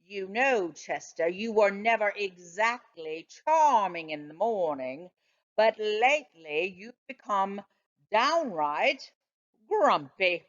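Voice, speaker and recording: Woman playing a storybook dog, indulgent, questioning, gently chiding, unhurried, animated, slightly dull recording. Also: mid-range voice. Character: trusty, indulgent, skeptical male family dog. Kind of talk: children's storybook read aloud.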